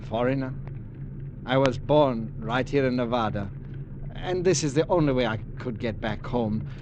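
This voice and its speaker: Actor as a hunted defector, precise, hesitant, mid-pitched, hissy old radio sound. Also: male voice, moderate pace, muffled recording, lightly acted. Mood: pleading. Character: weary male defector, soft-spoken and pleading. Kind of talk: 1950s radio drama acting.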